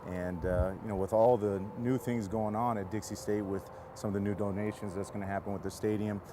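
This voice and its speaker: A man's voice: on the low side, level tone, brisk, background noise. An American man from San Diego, a football coach giving casual interview answers outdoors beside a field. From San Diego, California.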